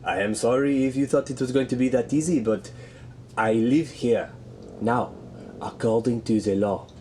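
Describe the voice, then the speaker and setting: A man playing a smug, manipulative houseguest in a radio thriller, measured, falsely reasonable and condescending. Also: mid-range voice, fairly even intonation, darkish tone, natural voice. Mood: cold, smug. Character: smug, manipulative male houseguest who refuses to leave. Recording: roomy, distant, faint background noise.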